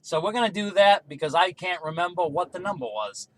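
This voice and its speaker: A man's voice: high voice, lively intonation, fairly quick, rough recording. A man making casual radio calls, repeating call signs and numbers as a hobbyist would. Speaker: CB radio hobbyist running a range test.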